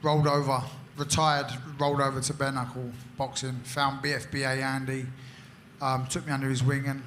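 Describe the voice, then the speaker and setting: A man from London, a fighter, introducing himself at a press conference in a halting way. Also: mid-range voice, level tone, a bit bright, a little hiss or hum. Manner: plain, halting, repeats words.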